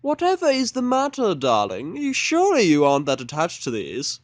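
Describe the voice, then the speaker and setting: A man voicing a prim, fussy, theatrical female pony fashionista in a fan fiction audio drama, with a haughty, drawn-out, dramatic delivery. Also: high-pitched, huge pitch swings, no room sound, pitched above the performer's own voice. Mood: curious, coaxing.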